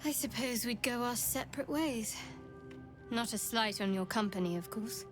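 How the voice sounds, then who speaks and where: A woman's voice: a little high, normal speed, close to an everyday voice. An actress playing a guarded, secretive young adventurer woman in a fantasy video game, reserved and dryly wry. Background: game music.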